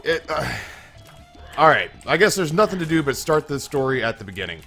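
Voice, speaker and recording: A slightly hoarse young man hosting a gaming channel, telling a long, self-mocking story with many restarts while he plays. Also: a little high, sing-song, a little fast, pushed and bright, faint music behind. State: a little hoarse. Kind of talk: casual let's-play commentary.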